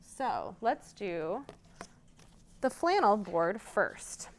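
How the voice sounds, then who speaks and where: A woman's voice: mid-range voice, sing-song, drawn-out words. A woman hosting a children's storytime, telling stories interactively and coaching young listeners along with questions. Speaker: children's librarian, storytime host.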